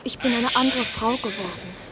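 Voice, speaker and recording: An actress playing Eva, a fragile, wounded young woman who talks softly and pleadingly in a radio play. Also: on the high side, normal speed, very noisy, close to an everyday voice. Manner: soft, pleading, distant. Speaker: witness.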